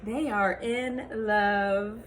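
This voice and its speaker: A calm storybook animal friend, played by a woman, states things plainly and very deliberately. The delivery is slow and matter-of-fact, with faint noise in the background. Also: medium pitch.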